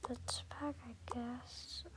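A soft-spoken, hesitant young-sounding female voice improvising role-play for a dollhouse game. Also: on the high side, steady tone, drawn-out words, in a whisper. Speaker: gameplay storyteller. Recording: music playing behind the voice. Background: music.

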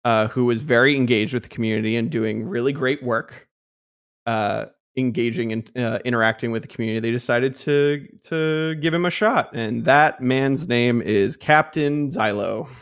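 A man's voice: medium pitch, moderate pace, a bit bright, clear, resonant tone. Young man, hesitant and filler-heavy, on a headset call. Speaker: guest, community team member. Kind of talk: casual podcast chat. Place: home room, indoors. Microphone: headset over ears, video call.

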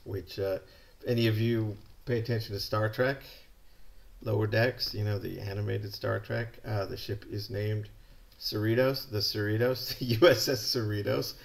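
A man's voice: on the low side, steady tone, moderate pace, creaky. A middle-aged American man from California, a yo-yo shop owner, chatting casually and enthusiastically while unboxing products on a desk camera indoors. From California, USA.

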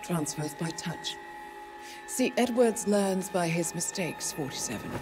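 A woman playing a cool, calculating intelligence handler in a video game, speaking in measured, formal phrases. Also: fairly low pitch, lively intonation. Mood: regretful, grave. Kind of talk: dramatic video game cutscene acting. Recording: long hall reverb under loud music.

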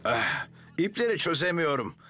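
A man's voice: on the high side, very animated, normal speed. An actor playing a capable, polite fireman hero in a children's cartoon, with a courteous, assured manner. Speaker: firefighter. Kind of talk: animated children's cartoon dub. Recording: loud music behind.

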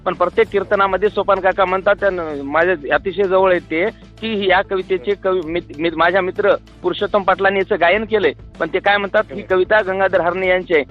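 A man's voice: high voice, steady tone, fairly quick. A man with a Marathwada accent arguing indignantly on a phone call and insisting on being heard. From Marathwada, Maharashtra. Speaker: poet. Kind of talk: heated argument on a phone call. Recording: dull phone call recording with music.

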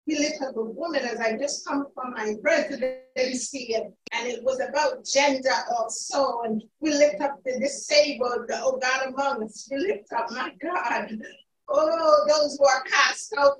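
High-voiced woman praying fervently. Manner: impassioned, rising to shouts of praise. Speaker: prayer leader. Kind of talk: fervent spoken prayer. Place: church sanctuary at a pulpit. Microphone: pulpit mic, recorded by far camera. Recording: echoey church from far off.

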